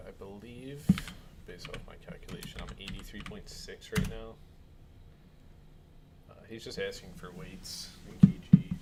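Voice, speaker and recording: A man co-hosting a casual podcast, laid-back and laughing easily as he reads out viewer questions. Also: lowish voice. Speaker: podcast co-host. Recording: distant mic with loud noise.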